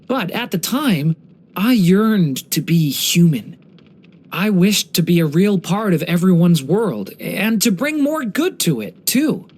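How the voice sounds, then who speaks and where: A young man voicing a gentle, earnest dragon boy for a video game, polite and slightly hesitant. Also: sits high, full character voice. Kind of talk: video game character voice lines.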